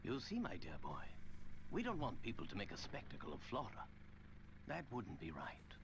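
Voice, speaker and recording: A courteous, kindly gentleman professor from a video game cutscene. He speaks slowly and formally, with hugely varied intonation, over clear background noise. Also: fairly low pitch, wildly swooping pitch, exaggerated voice. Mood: gently serious.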